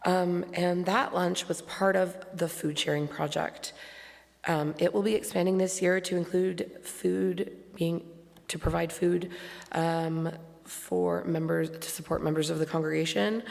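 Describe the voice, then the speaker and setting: Woman, hoarse and heavily gravelly, lowish pitch, casual announcer at a congregation gathering. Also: darkish tone. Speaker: congregation board member making announcements. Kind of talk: informal spoken church announcements. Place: large church sanctuary. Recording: long hall reverb from church sound system.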